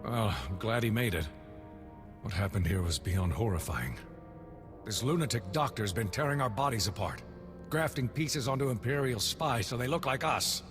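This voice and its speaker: An actor playing a shaken rescued military captain in a video game, earnest and plain-spoken. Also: male voice, fairly low pitch, lively intonation, moderate pace, in a large hall, music in the background, close to an everyday voice. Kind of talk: scripted video game cutscene acting.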